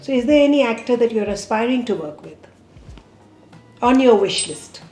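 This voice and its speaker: A woman's voice: on the high side, lively intonation, moderate pace, a little mellow, roomy sound, soft background music. A woman interviewing an actress for a magazine, friendly and encouraging, asking questions with light teasing.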